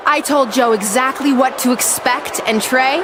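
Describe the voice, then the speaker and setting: A woman's voice: high-pitched, normal speed, noisy background, lightly acted. A woman playing a vain, scheming wrestling villain in a video game, giving a smug, mocking, theatrical promo. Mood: smug gloating.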